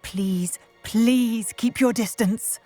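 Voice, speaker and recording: An actress playing a grieving, protective widowed witch mother in a video game, with a husky, breathy voice. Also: a little high, very slow, dark tone, soft background music. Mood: bitter, warning.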